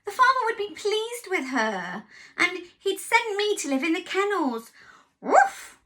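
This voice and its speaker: A woman's voice: very high-pitched, sing-song, a little slow, sharp tone, pitched above the performer's own voice, heavily acted. A woman voicing a worried sheepdog in a storybook, earnest and ending with a bark. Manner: earnest, barking.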